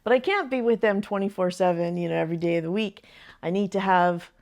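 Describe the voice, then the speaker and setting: An older woman talks straight to camera in a chatty, earnest way. She is a retired grandmother sharing advice, and soft laughs slip in between her thoughts. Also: lowish voice, quick pace. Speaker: vlogger. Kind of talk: casual advice vlog.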